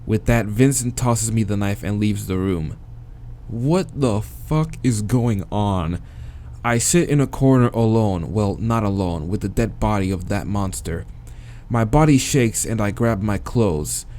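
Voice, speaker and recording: An American man reading a visual novel aloud as a let's-play host, dramatic in the narration and breaking into slangy, expressive asides. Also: on the low side, unhurried, slight background noise. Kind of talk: dramatic read-aloud with casual reactions.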